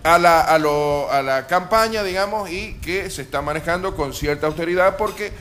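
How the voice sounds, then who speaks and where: A man's voice: on the high side, normal speed, fairly bright tone, slight background noise. A man co-hosting a comic live radio show, talking in a wry, mock-formal way. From Argentina. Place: bar, live radio broadcast before patrons.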